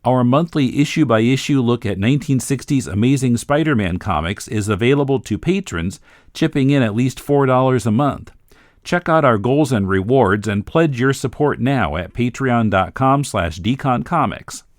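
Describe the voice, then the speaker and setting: A man's voice: mid-range voice, expressive, normal speed, no echo, studio quality. A man speaking as a podcast host, delivering a scripted intro and announcement.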